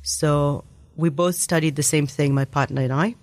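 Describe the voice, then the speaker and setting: A woman's voice: low-pitched, steady tone, moderate pace, no room sound. A woman in her thirties, originally from Kenya, thoughtfully telling her story in a casual interview with frequent ums. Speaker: interview guest.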